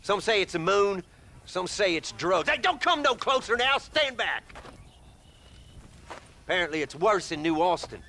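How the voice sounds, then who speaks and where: A pompous frontier townsman with a drawl who has crowned himself leader. His bright, sharp voice swoops high and low as he blusters. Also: big pitch swings, a little slow, long pauses, slight background noise, full character voice. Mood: wary. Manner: blustering and self-important. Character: pompous self-crowned male townsman, bossy and vain.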